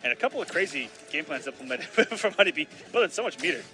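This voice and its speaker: A man doing live play-by-play commentary on a fighting game, excitable and bursting loud on big plays, his voice slightly raspy from long casting. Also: a little high, quick pace, soft background music. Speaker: esports commentator.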